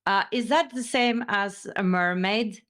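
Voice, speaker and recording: A woman's voice: medium pitch, a little slow, a bit bright. A woman from Norway, Norwegian by first language, hosting an interview and asking thoughtful, curious questions in English. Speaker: interview host. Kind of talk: casual spoken interview.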